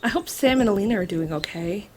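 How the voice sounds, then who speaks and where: A woman's voice: mid-range voice, animated, quick pace, a little hiss or hum, lightly acted. A woman playing an earnest, caring green Martian who is eager to help, in an audio drama. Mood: worried, insistent. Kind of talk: audio drama character acting.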